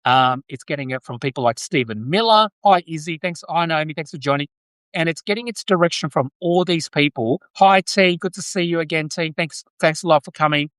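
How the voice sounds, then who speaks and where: An Australian man hosting a casual live political commentary stream, speaking in a halting way and often restating his phrases. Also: on the high side, a bit bright, clear, resonant tone, dry recording, poor audio. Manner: halting, repeats and restates phrases, breaks off to greet viewers. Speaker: podcast host, political commentator. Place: Brisbane, Australia.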